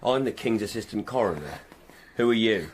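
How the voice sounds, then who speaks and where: An actor playing a stern, moralising coroner in a radio drama, brusque and accusing. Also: male voice, on the low side, animated, normal speed, slight background noise, lightly acted. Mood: harsh, accusing.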